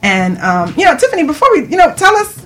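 A woman's voice: high voice, wildly swooping pitch, quick pace, a bit bright. A woman hosting a radio show in casual chat, playful and informal.

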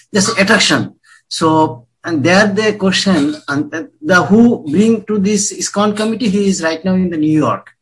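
A man from Bangladesh with a Bengali accent, speaking devoutly and haltingly in non-native English as he shares in a group. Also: on the high side, rough recording. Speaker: class participant, devotee. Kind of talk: personal sharing in group discussion.

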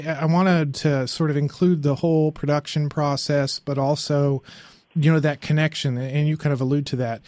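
A man hosting a podcast in casual conversation, halting and often correcting himself as he rambles along. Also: a little high, fairly even intonation, darkish tone, no room sound. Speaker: podcast host.

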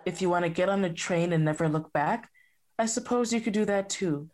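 A woman with a Canadian accent plays a calm, absent-minded therapist who gives stock advice. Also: on the low side, a little fast, close to an everyday voice. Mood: detached. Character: distracted, bland female therapist.

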